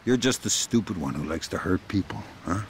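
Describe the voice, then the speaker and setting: A seasoned, menacing video game spy with a very deep voice. He delivers wisecracks in a deadpan, sardonic way, with slight background noise. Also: fairly quick. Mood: cold, menacing. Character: dry, menacing, wisecracking veteran male spy.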